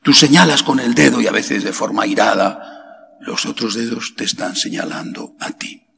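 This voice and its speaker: A middle-aged man from Spain, a priest preaching a homily slowly and emphatically, asking rhetorical questions and leaping up in pitch on key words, into a stand mic in a large chapel. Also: vocal fry, pushed and bright. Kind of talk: sermon. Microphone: stand mic at lectern. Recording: long hall reverb, faint background hiss.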